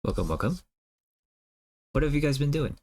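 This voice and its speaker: A man whose first language is Cantonese, chatting casually on a livestream while he draws, musing aloud softly with many fillers. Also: a little high, sing-song, normal speed, dry recording. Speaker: streamer.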